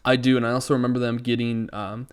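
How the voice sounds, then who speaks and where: Man, medium pitch, casual podcast banter, sarcastic, amused, co-host. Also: little pitch movement, fast talker, smooth. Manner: sarcastic, amused, chuckling through sentences. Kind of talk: casual comedic banter, reading out a plot synopsis with asides.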